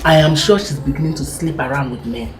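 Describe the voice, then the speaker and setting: A woman's voice: low voice, fairly quick, close to an everyday voice. An actress with a Nigerian accent playing a nagging mother and wife who gossips about her daughter. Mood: gossiping. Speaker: mother and wife in a family drama. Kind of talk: Nollywood comedy-drama acting.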